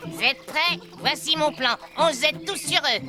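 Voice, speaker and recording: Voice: high voice, moderate pace, close to an everyday voice. A performer voicing a clever, boastful talking cat with courtly, theatrical manners in a French children's cartoon. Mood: confident. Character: clever talking cat, boastful smooth-tongued royal envoy. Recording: music behind the voice.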